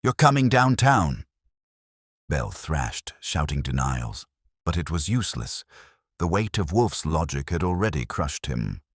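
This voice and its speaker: A man's voice: extremely low pitch, expressive, measured pace, husky, no echo, studio quality. A man reading a dramatic scripted detective story as an audiobook narrator.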